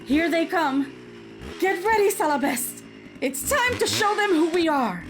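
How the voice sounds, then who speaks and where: A woman's voice: extremely high pitch, very animated, measured pace, heavily acted. A woman playing a fervent town leader in an audio drama, rallying a militia with rousing, declamatory cries. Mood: rallying, urgent. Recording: dramatic music behind the voice.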